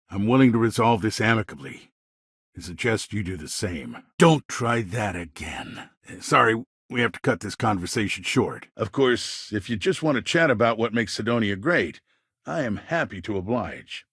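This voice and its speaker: A man playing a smooth-talking, self-important middle-aged colonial governor in a video game, with polished politician's phrasing and a husky voice. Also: on the low side, a bit bright, no room sound, clean studio sound, heavily acted. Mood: firm, veiled threat. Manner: polished politician's talk, veiled threats. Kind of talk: game dialogue voice lines.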